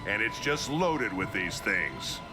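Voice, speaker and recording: Slow, low-voiced, brash old admiral. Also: male voice, sing-song. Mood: rousing, boisterous. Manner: hearty, chuckling, commanding, informal. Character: boisterous, brash, hearty older male admiral. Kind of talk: video game cutscene acting. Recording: loud music behind the voice.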